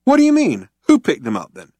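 Zaphod, a man doing a shouting impatient man. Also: high-pitched, huge pitch swings, fairly quick, no room sound, voice pushed up, exaggerated voice. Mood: exasperated. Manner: loud, exasperated outbursts. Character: excitable, impatient man, a ship's captain. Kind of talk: audiobook character voice.

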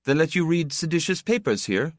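Man voicing a proud, awkward young man, lively intonation. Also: a little high, brisk, no room sound, studio quality, pitched above the performer's own voice, close to an everyday voice. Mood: bitter, resigned. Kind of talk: audiobook character dialogue.

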